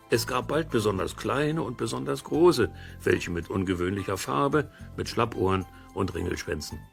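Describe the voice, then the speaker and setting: A man's voice: fairly low pitch, gravelly. A man narrating an educational audio play for children, explaining things simply and conversationally with playful comparisons. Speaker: host and storyteller.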